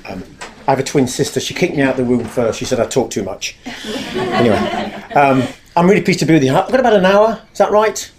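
A man's voice: medium pitch, fast talker, noisy background. An English man with a big, carrying voice, giving a chatty, self-deprecating talk to a live audience and addressing the room directly.

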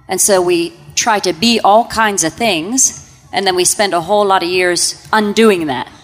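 Female religious sister, reflective and earnest, mid pitch, reverberant hall. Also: unhurried. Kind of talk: casual guest talk on stage. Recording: reverberant hall with faint music.

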